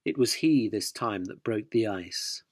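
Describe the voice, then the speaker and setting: A man's voice: lowish voice, expressive, unhurried, no room sound, clean studio sound. A man playing a cheeky, cynical yuppie journalist who narrates a radio drama in a wry, provocative way. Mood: wry narrating.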